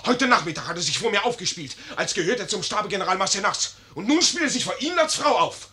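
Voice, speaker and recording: Blustering young lieutenant, piercing and excitable. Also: male voice, high-pitched, fast, heavily acted. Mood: indignant. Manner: blustering, overblown, theatrical. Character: blustering, foolish, indignant young army lieutenant. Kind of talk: 1950s German radio play acting.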